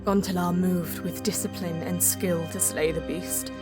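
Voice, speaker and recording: A woman plays a solemn, reverent elven mystic with a lowish voice. She narrates her quest in measured, literary phrases, with music playing clearly behind her. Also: steady tone, close to an everyday voice. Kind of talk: scripted first-person audio drama narration.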